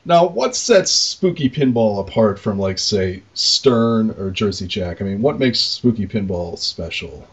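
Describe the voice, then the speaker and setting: A man's voice: on the low side, expressive, a little slow, hardly any pauses, breathy edge, muffled recording. A man interviewing a guest as a podcast host, chatting in a laid-back, informal way. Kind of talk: casual interview chat.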